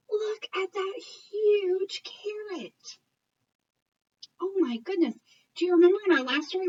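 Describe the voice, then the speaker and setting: A woman reading a picture book aloud as an educator, talking to children and parents in an expressive, encouraging, questioning way. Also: very high-pitched, far from the mic, dull audio.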